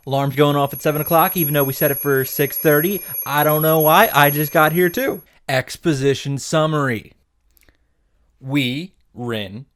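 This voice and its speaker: Brief: male host for comic story recaps, sarcastic, irreverent, happy to swear, clipped punchy lines, medium pitch. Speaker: host of a visual novel summary series. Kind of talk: comedic recap narration.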